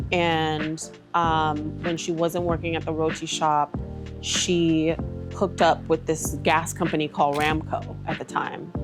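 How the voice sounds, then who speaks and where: A woman from Trinidad and Tobago speaking candidly and conversationally about herself in a reflective documentary interview. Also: low-pitched. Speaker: aesthetician and entrepreneur. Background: background music.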